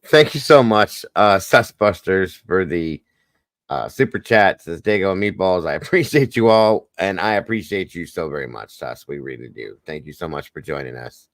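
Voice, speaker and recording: A livestream co-host reading out and thanking viewer super chats in a slow drawl. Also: low voice, lively intonation, no room sound, studio quality.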